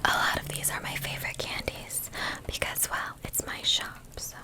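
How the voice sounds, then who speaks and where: A woman in a gentle, breathy whisper, unhurriedly playing an attentive candy shop keeper in an ASMR roleplay. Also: moderate pace.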